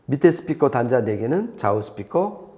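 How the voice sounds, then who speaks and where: A man's voice: medium pitch, big pitch swings, moderate pace, darkish tone. A man speaking as an audio expert, explaining things conversationally and step by step, often repeating points for clarity. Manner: didactic, explains step by step with repetition.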